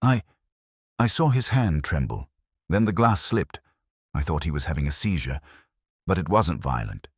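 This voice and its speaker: A man's voice: extremely low pitch, animated, normal speed, pauses often, breathy edge, no echo, clean studio sound, exaggerated voice. A man voicing a nervous, shaken woman witness, hesitant and anxious, in an audiobook. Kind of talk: audiobook character dialogue.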